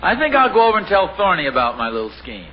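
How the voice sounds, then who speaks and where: A genial bumbling radio sitcom dad. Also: male voice, high-pitched, wildly swooping pitch, fast talker, dull audio. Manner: chuckling, amiable, a little bumbling. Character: genial, easily flustered suburban dad. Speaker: father and husband in a radio sitcom. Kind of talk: 1950s radio sitcom acting. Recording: scratchy 1950 radio broadcast with hiss.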